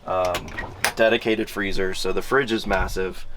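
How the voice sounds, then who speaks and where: A man, an interior designer, explaining his van build in relaxed, enthusiastic, chatty answers, his voice groggy from the morning. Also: low-pitched, measured pace, background noise. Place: outdoors by his van, then inside it.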